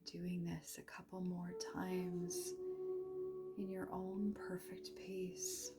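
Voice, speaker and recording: Slow, half-whispering woman guiding meditation. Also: lowish voice, sing-song. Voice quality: soft, breathy, half-whispered. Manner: gentle, unhurried, with long pauses. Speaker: meditation guide, yoga teacher. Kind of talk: guided meditation, soft soothing instruction. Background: music.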